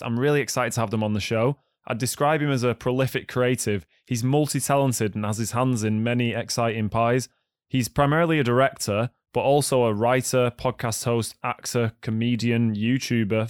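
Man, podcast host, slightly croaky, smooth resonant tone, dry close sound, enthusiastic. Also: mid-range voice, no room sound. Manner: enthusiastic, friendly. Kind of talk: podcast intro and casual chat.

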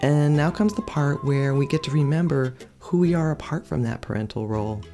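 A middle-aged woman hosting her podcast, narrating in a reflective, measured and candid way. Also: low-pitched, dark tone, faint music behind. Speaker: podcast host. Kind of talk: scripted personal narration.

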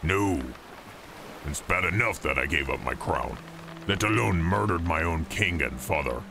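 An actor playing a blunt, brooding exiled orc prince with a gravelly voice and a grim, terse manner in a fantasy audio drama. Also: male voice, low voice, animated, measured pace, noisy background, full character voice. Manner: terse, grim, matter-of-fact. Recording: music under the voice.

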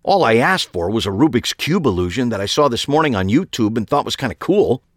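A man's voice: mid-range voice, sing-song, brisk, no echo, clean studio sound. A man voicing a casual male customer at a magic shop in an audiobook.

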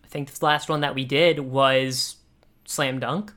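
Man chatting casually, halting and rambling. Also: medium pitch, fairly even intonation, fairly bright tone. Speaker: podcast co-host. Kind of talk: casual comedic chat.

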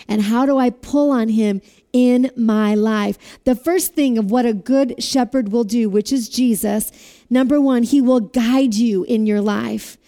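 A woman preaching a sermon with a loud voice, asking listeners to repeat phrases after her. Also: a little high. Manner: asks the audience to repeat phrases.

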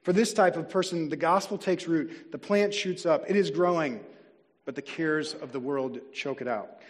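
Male pastor, earnest deliberate sermon delivery, slightly raised pitch, church hall reverb. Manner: earnest preaching, slows to stress key words. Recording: long reverb of a large hall.

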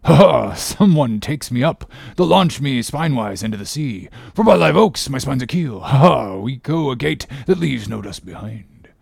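A man's voice: medium pitch, animated, normal speed, vocal fry, few pauses, darkish tone, slightly rough, no echo, clean studio sound, full character voice. A man voicing a boisterous, reckless sailor in an audiobook, exclaiming and bragging defiantly. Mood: gleeful bravado. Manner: exclamatory, bragging, laughing bursts. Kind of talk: audiobook character voice.

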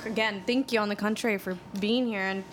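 Relaxed, conversational woman host. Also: medium pitch, fairly quick, a little hiss or hum. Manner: relaxed, conversational, sharing personal stories. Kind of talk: casual interview conversation.

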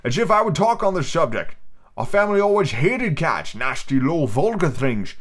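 Man putting on a shrill, indignant little mouse. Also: big pitch swings, normal speed. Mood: offended. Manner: indignant, trembling. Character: shrill, passionate, easily offended talking mouse. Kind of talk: storybook read aloud.

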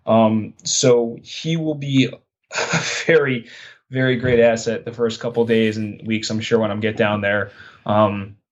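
A man's voice: fairly low pitch, fairly even intonation, a little slow, dull audio. A young man from Long Island, New York, talking casually as an interview guest. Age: young adult. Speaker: podcast guest, recent chiropractic graduate.

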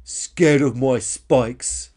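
Man playing a loud, indignant, weepy female dinosaur. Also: sits high, animated, fairly quick, pitched above the performer's own voice. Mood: loud, blustering. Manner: indignant, stammering, blustering. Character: vain, defensive, weepy female spiky dinosaur.

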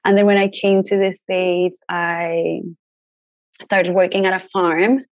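A woman's voice: on the low side, little pitch movement, slow, no echo, highs cut off. A young woman from Mexico, a native Spanish speaker, chatting casually as an interview guest with many "like" fillers and easy laughs. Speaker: guest herbalist.

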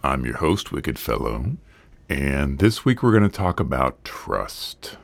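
Hoarse man with a very deep gravelly voice. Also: no room sound. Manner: earnest, conversational, rambling. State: hoarse, recovering from illness. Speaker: podcast host. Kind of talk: solo podcast monologue.

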